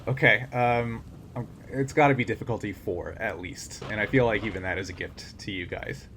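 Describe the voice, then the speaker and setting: A man's voice: fairly low pitch, moderate pace, fairly bright tone, slight background noise. A man acting as game master, talking casually as he makes rulings in a tabletop role-playing game.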